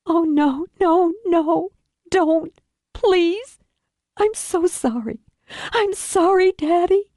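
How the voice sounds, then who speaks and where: A woman's voice: high-pitched, expressive, a little slow, a little mellow, dry recording, studio quality, voice pushed up. A woman voicing a frightened, tender young daughter in an audiobook thriller, pleading with breathless urgency. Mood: grief-stricken. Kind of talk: audiobook thriller character acting.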